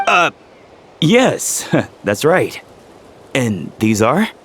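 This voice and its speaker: A shy young trainee medic in a video game, polite and unsure. His mid-range voice moves up and down lively as he stammers over his words. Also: medium pitch, very slow, slight background noise, full character voice.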